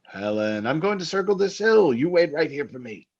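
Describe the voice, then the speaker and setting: An actor playing a jovial but menacing hunting husband in old-time radio drama style, breezy and taunting. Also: male voice, a little high, sing-song, moderate pace, creaky, slightly rough, lo-fi sound, exaggerated voice. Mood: brisk. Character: jovially menacing husband, keen hunter, teasing with murder talk.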